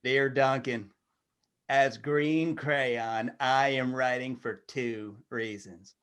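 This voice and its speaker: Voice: medium pitch, steady tone, takes it slowly, a bit bright, clean tone. A performer voicing a laid-back, contented green crayon in a comic storybook reading, with a drawling, easygoing manner. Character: mellow, contented green crayon, slow peacemaker.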